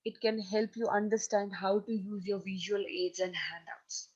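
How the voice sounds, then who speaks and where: A woman with a Pakistani accent delivers a lecture in a steady, mid-pitched voice. She explains each point firmly and pauses to ask her listeners whether they are following. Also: slightly rough, highs cut off. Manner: instructive, emphatic, checks in with listeners. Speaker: teacher. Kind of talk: recorded lecture on presentation skills.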